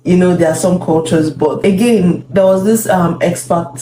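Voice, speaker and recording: Thoughtful agreeable wife. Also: female voice, fairly low pitch, normal speed. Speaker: co-host, wife. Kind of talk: casual talk on marriage advice. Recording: echoey room with background noise.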